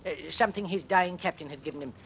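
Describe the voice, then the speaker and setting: An actor playing a gossipy, bitter tailor who tells tales eagerly in a 1930s radio drama. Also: male voice, high voice, fast, a bit bright, lo-fi sound, a little hiss or hum. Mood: confiding.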